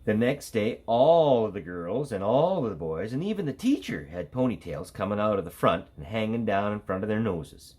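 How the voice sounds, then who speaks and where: A man's voice: fairly low pitch, animated, moderate pace, few pauses, darkish tone, slightly rough. A man reading a children's story aloud with theatrical, dramatic emphasis. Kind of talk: animated children's read-aloud.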